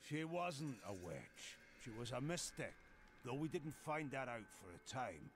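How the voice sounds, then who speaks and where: A man's voice: mid-range voice, big pitch swings, unhurried, a little hiss or hum. A man voicing a devoted, plain-spoken travelling merchant in a fantasy video game, telling things in an easygoing way. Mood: wistful, easygoing. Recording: faint background ambience.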